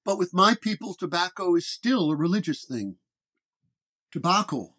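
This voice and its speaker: A man playing a calm, measured, wry paranormal detective in a horror story. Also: high voice, exaggerated voice. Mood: wry, explaining.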